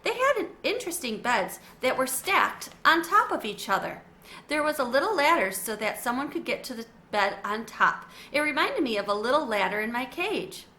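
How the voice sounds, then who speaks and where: Lady reading a kids book out loud, bright voice, lots of up and down. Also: high-pitched, moderate pace. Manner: expressive, animated storytelling. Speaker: storybook reader. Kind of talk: children's read-aloud narration.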